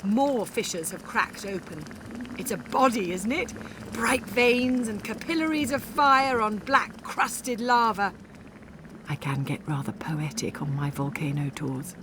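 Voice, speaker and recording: A woman's voice: mid-range voice, expressive, unhurried, background noise, lightly acted. A woman playing a wry, exuberant Icelandic cafe owner and volcano guide, narrating in the first person with theatrical, confiding asides. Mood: exclaiming, awed. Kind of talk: dramatised first-person audiobook monologue.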